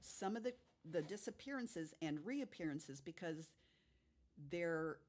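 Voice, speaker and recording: A woman's voice: on the low side, lively intonation, unhurried, a little mellow. A woman telling stories of unexplained cases in a casual way, as a guest on a podcast.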